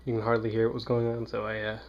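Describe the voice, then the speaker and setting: A man's voice: lowish voice, completely flat delivery, racing, highs cut off, a little hiss or hum. A man giving casual, offhand commentary as a let's player, informal and prone to swearing.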